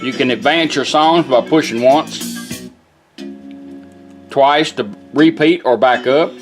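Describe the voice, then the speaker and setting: A man walks through a product demonstration from inside a pickup cab. His voice sits at a middle pitch, and his manner is casual, folksy and plainspoken as he instructs step by step. Music plays faintly in the background. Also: takes it slowly. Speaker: presenter demonstrating a vintage truck radio.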